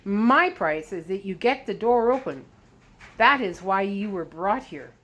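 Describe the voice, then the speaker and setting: A woman's voice: medium pitch, expressive, unhurried, fairly bright tone. A woman voicing a haughty, selfish male president in a storybook, pompous and impatient. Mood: cold anger. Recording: clear background noise.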